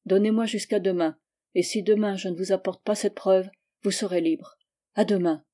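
A woman reading the part of an earnest young man, speaking with steady, measured persuasion in her own voice. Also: mid-range voice, natural voice. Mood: calm. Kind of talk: audiobook dialogue reading.

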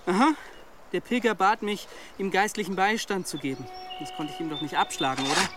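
A man's voice: high voice, brisk, a bit bright, slight background noise. A man playing an anxious, guilt-ridden young monk in a German radio play, hesitant and pleading. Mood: evasive.

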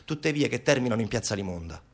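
A man performs a theatrical narrative monologue at a very quick pace. His delivery is urgent and vivid, built from short bursts in the present tense. The pitch of his voice sits in an ordinary middle range for a man. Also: animated. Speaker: stage actor, solo storyteller.

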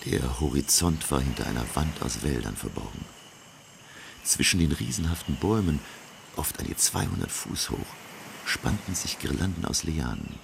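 A man's voice: very deep voice, very animated, vocal fry, background noise, full character voice. A man playing a refined, reflective professor who narrates his adventure in literary style for a German radio drama. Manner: literary, measured.

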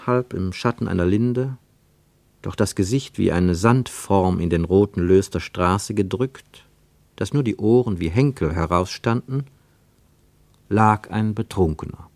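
A man reads literary prose for radio as a narrator, with deliberate, emphatic phrasing and dramatic pauses. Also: fairly low pitch, lively intonation, darkish tone, no echo.

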